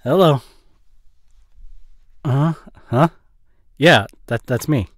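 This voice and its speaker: A man's voice: medium pitch, takes it slowly, slight background noise, natural voice. A man playing an evasive, defensive boyfriend with a drinking habit in an audio roleplay, speaking in a hesitant, one-sided conversation. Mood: confused, worried. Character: evasive drinking boyfriend, dismissive then guilt-stricken.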